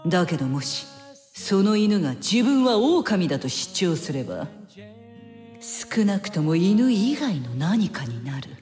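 An actress playing a brash, cynical woman with blunt, mocking, rough speech in an anime game cutscene. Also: on the low side, big pitch swings, unhurried, darkish tone. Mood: stern, cynical. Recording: long reverb, music behind.

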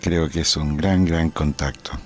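Male amateur radio operator, very low voice, Chilean Spanish accent, effusive and searching for words while commenting on a contact. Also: steady tone, moderate pace, a little mellow, faint music behind. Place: indoors at a desk, small room.

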